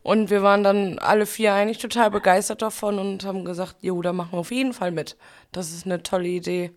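A woman's voice: mid-range voice, flat delivery, fast. A woman in her thirties, a bride being interviewed, telling stories in a chatty way. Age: 30s. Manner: chatty, storytelling with fillers. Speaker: bride, guest. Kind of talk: casual interview talk.

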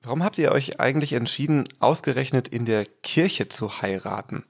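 A man, a pastor, hosting a church podcast and interviewing guests in a friendly, measured way. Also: medium pitch, expressive, vocal fry. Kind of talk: scripted podcast intro and interview questions.

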